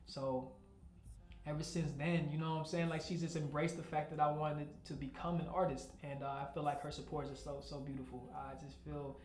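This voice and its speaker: Young rapper from nigeria, chill easygoing chat, slightly high voice, roomy echo. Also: male voice, little pitch movement, brisk. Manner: laid-back, frequent "you know what I'm saying". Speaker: rap artist guest. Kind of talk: casual interview chat.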